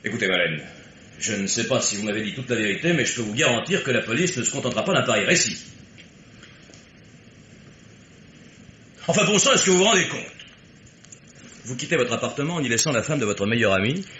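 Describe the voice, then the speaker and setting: An actor playing a blunt, sardonic private detective who argues insistently in a radio mystery play. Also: male voice, mid-range voice, lively intonation, fast talker, sharp tone, a little hiss or hum. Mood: exasperated.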